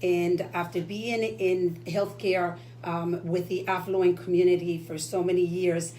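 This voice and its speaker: A woman's voice: fairly low pitch, flat delivery, measured pace, warm tone, slight background noise. A woman from the Dominican Republic co-hosting a radio talk show, earnest and enthusiastic. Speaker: radio co-host.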